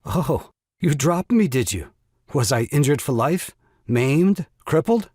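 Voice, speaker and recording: A man playing an excitable, scheming nephew in a stage farce, with a theatrical, sarcastic delivery. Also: on the high side, takes it slowly, husky, no echo, clean studio sound, exaggerated voice. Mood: mock indignant. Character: excitable, scheming nephew and new father, indignant. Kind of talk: stage farce audio drama acting.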